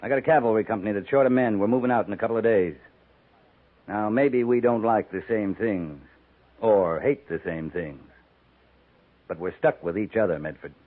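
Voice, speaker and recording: An American man playing a steady, plain-spoken cavalry captain in a 1950s radio western, firm and laconic. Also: fairly low pitch, moderate pace, phone-quality sound, lightly acted. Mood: firm, unflinching. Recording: band-limited 1950s radio recording.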